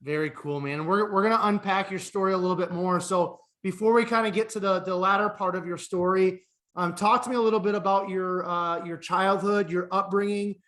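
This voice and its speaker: A man with a fairly high voice hosts a podcast, asking his guest questions in a friendly, steady way. His tone is bright and forward. He leans on stock openers to move the talk along. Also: fairly quick. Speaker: podcast host. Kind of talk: interview questions.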